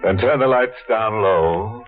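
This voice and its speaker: A man's voice: on the low side, slow pace. A man playing a macabre, sardonic horror host in a 1940s radio drama, speaking with a sing-song, chuckling delivery. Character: ghoulish male horror host, mocking, macabre wit. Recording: tinny old radio broadcast with music.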